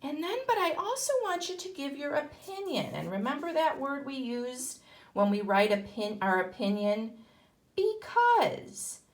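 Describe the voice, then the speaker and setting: A woman teaches kindergarten children in an unhurried way, with a voice that rises and falls in a very animated range. She explains things in simple steps and encourages her young listeners, often putting questions to them. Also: high voice. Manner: encouraging, asks questions and answers them herself. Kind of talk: instructional talk to young children.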